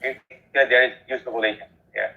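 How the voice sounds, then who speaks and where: A man's voice: medium pitch, fairly even intonation, fairly quick, narrow, tinny audio. A man from Bangladesh, a language learner, talking politely and a little hurriedly in a practice conversation.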